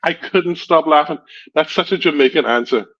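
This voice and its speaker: A man's voice: on the high side, normal speed. A man with a Guyanese accent streaming and chatting casually, rambling through stories and laughing often. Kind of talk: casual chat. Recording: dull lo-fi stream audio.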